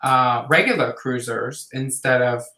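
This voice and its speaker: A man guesting on a livestream, explaining casually in halting phrases. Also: medium pitch, bright, forceful tone. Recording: roomy, echoing call audio.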